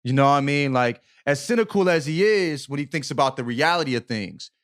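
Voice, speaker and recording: A man's voice: medium pitch, animated, fairly quick, fairly bright tone. A man co-hosting a podcast, talking casually in slang, repeating himself emphatically and often checking that he is understood. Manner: emphatic, repetitive, checks in with "you know what I mean". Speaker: podcast co-host. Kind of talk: casual, slangy book discussion.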